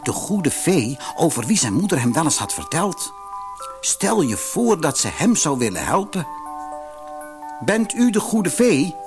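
A man narrating a children's fairy tale audiobook in an expressive storytelling manner. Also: on the high side, moderate pace, music in the background.

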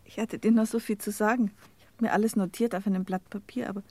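A woman's voice: mid-range voice, steady tone, fast talker, dark tone, close to an everyday voice. A woman playing an anxious, clinging young fiancée in a radio play, emotional, intimate and insistent. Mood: wistful, reproachful. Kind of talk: German radio play acting.

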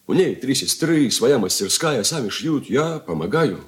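An actor playing a nervous, evasive suitor in a radio drama, speaking earnestly and hesitantly in a theatrical way. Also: male voice, mid-range voice, very animated, unhurried. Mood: earnest confession. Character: nervous, evasive suitor confessing to his fiancée, then pleading. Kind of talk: Soviet radio drama acting.

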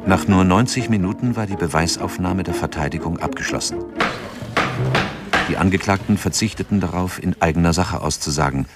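A man's voice: sits low, a little fast, over loud music. An actor as the sober male narrator of a true-crime radio drama, factual and restrained.

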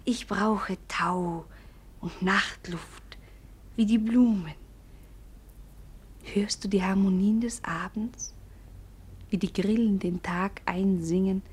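An actress playing a melancholy, dreamy young princess in a classic radio play, hushed and poetic. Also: female voice, mid-range voice, steady tone, slow, pauses often, slightly rough. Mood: dreamy, wistful. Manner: hushed, poetic, often half-whispered.

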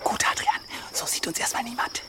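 An actor in a German radio drama playing a bold, pot-bellied medieval assassin who talks in a hushed, commanding, conspiratorial way. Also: male voice, fairly quick, background noise, close to an everyday voice.

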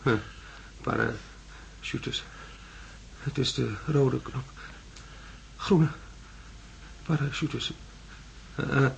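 An actor playing a desperate astronaut in a hypnotic delirium, muttering and cursing in a radio drama. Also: male voice, drawn-out words, pauses often, full character voice, rough recording. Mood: desperate, delirious. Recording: clear noise, vehicle-like rumble.

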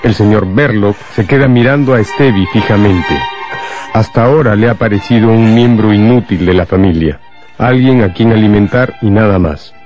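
Low guy narrating a radio novel, bit muffled, violin music behind. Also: moderate pace, a little mellow. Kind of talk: scripted radio drama narration. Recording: dull band-limited sound, music underneath.

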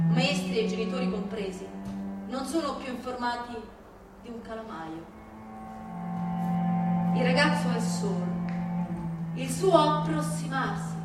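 A woman giving a dramatic stage reading of literary texts, with a measured, declamatory delivery and deliberate pauses. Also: a little high. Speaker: stage performer. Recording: very echoey hall from far off, music behind. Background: live music.

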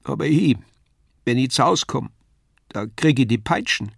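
A man acts out a little girl from a storybook, sulky and close to tears. The speech is southern German dialect. The pitch is lifted and childlike. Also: expressive, moderate pace, creaky, breathy edge, no room sound. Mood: tearful.

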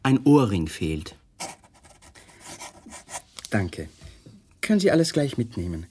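A courteous but probing male police lieutenant in a radio crime drama. His formal, businesslike questions move with very lively intonation. There is faint noise on the recording. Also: lowish voice, slow pace. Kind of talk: 1980s radio play acting.

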